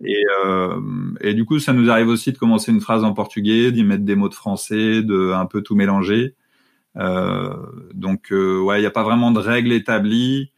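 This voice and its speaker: Low-ish guy in his 30s, lots of euh and restarts, chatty interview guest. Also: steady tone, normal speed, gravelly. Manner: hesitant, many fillers and restarts. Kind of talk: conversational interview.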